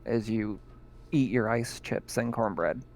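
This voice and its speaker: Gravelly-voiced man running a tabletop game. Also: lowish voice, measured pace, a little hiss or hum. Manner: relaxed, laughs often, many fillers. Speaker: game master.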